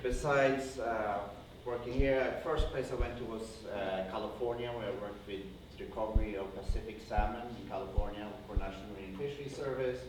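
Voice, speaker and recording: A man's voice: on the low side, fairly even intonation, fairly quick. A man with a Norwegian accent gives an informal slide lecture to an audience, speaking hesitantly and often rephrasing himself as he rambles along. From Norway. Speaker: conservation project founder giving a guest lecture. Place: indoor university lecture room. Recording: very echoey room, distant mic, faint noise.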